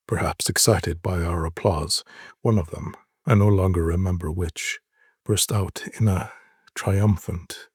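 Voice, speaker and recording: Male narrator, low and very husky, solemn and deliberate literary storytelling, dry close sound. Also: no room sound. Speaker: narrator reading short stories. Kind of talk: dramatic literary reading.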